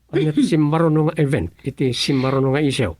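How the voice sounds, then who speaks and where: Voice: mid-range voice, fast, a little mellow, no room sound, close to an everyday voice. A performer playing a curious listener who prods a gossip with short questions in a comedic radio drama. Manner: inquisitive, short prompting questions.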